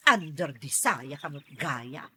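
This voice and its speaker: A woman's voice: low-pitched, expressive, slow, bright, forceful tone, husky, full character voice. A woman playing a nosy, teasing neighbour in an audio drama, taunting in a playful way. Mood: teasing, mocking. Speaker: voice actor in a drama. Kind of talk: Ilocano audio drama acting.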